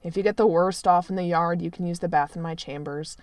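A woman's voice: lowish voice, fairly even intonation, fairly quick, darkish tone, no room sound, voice pushed down, natural voice. A woman doing an irritable drawling prince. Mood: exasperated. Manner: drawling, exasperated and snappish. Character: young male prince, irritable and commanding.

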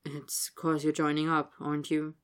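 A hulking teenage boy mutters short, blunt lines in a voice pushed low, as a woman reads him, guarded and sullen. The storybook teen keeps his words clipped and heavy. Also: completely flat delivery, normal speed, smooth, lightly acted.

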